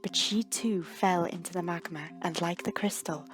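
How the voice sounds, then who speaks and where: A woman reading a scripted story as narrator, measured and dramatic, with deliberate pauses. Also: on the low side, steady tone, soft background music.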